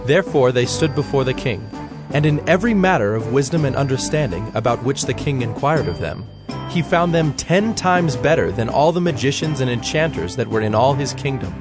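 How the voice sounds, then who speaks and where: A man's voice: medium pitch, animated, brisk. Man narrating scripture over music. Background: instrumental music.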